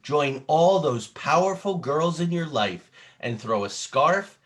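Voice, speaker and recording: A man's voice: on the high side, lively intonation, takes it slowly, a bit bright. A man who works as an actor and children's storyteller, speaking with a theatrical manner. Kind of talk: casual explaining and dramatic read-aloud.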